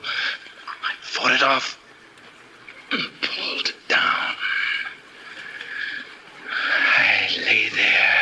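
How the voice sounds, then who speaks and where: A man's voice: medium pitch, a little hiss or hum, heavily acted, rough recording. An actor playing a tormented man losing his mind, narrating and acting in an intense, theatrical 1950s radio horror style. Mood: dread, agitation. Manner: intense, theatrical, swinging from hushed to desperate. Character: haunted, frantic male pianist losing his mind. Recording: old radio broadcast, music underneath.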